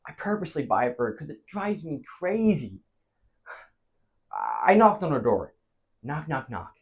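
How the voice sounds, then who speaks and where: A male actor playing a flustered married man in a theatrical comic monologue, stammering and pausing dramatically as he recounts a disastrous night. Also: fairly high pitch, big pitch swings, highs cut off. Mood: fond, wistful. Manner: stammering, dramatic pauses, big emotional swings.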